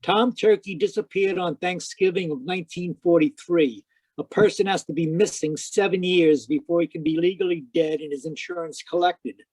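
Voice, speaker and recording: A man's voice: high voice, moderate pace, a little mellow, husky, no room sound, rough recording. A man playing a sardonic, wisecracking private detective, narrating an old-time radio mystery in a wry scripted style. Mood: urgent, sardonic.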